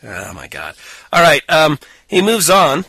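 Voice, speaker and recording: A man's voice: a little high, huge pitch swings, unhurried, creaky, sharp tone, slightly rough, no echo. A man co-hosting a casual podcast, picking apart a book with a sarcastic, mocking manner and frequent laughter. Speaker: podcast co-host. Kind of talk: casual chat reviewing a book.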